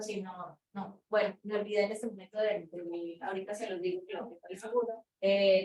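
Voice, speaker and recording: A woman with a Colombian accent giving an explanatory conference lecture to an audience. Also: mid-range voice. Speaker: conference speaker, researcher. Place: lecture room. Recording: echoey room, distant mic, background noise.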